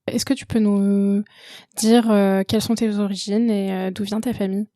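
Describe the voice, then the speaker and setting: A woman's voice: medium pitch, flat delivery, normal speed, dark tone, dry recording, studio quality. Friendly woman podcast host. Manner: friendly, direct, addresses listener informally. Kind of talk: scripted podcast intro, then interviewing.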